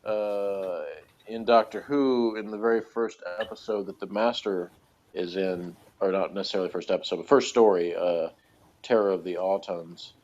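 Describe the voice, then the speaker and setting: Low-pitched man, hesitant, dull recording. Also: steady tone, normal speed, darkish tone. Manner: hesitant, hedging, thinking aloud. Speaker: podcast reviewer of a TV show. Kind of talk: casual chat, rambling commentary.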